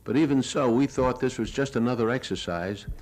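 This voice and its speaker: An older American man giving a lecture, narrating his own war film in a measured, plain-spoken, reminiscing way. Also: mid-range voice, a little mellow, low-quality recording. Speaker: newsreel reporter giving a lecture. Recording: 1970s archival lecture audio recording.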